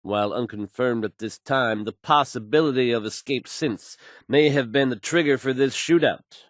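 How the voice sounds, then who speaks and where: An actor playing a confident radio DJ who reads post-apocalyptic news bulletins in an assured, measured, folksy announcer manner. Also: male voice, medium pitch, no echo, clean studio sound, lightly acted. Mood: measured, serious. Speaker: radio DJ and newsreader.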